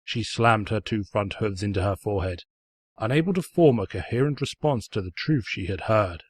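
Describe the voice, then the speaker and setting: A man's voice: on the low side, moderate pace, breathy edge, dry recording. A man reading fan fiction aloud as scripted story narration, in a pompous, snide storyteller's manner.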